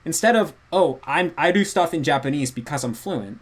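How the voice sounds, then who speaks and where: A man's voice: a little high, animated, moderate pace. A man, a guest in a casual chat, earnestly explaining at length. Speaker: guest language learner.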